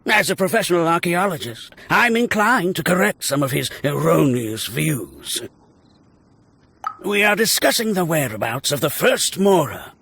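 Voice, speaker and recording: A man's voice: fairly high pitch, very animated, normal speed, gravelly, bright, forceful tone, slightly rough, heavily acted. An actor playing a pompous, boastful male archaeologist in a video game, with a theatrical, declamatory delivery. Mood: indignant, pompous. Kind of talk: video game character acting.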